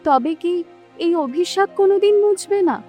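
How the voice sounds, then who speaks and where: Woman doing a young cartoon princess, high voice, firm but caring ruler, soft music behind. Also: expressive, a little slow. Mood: resolute. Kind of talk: animated fairy-tale story dialogue.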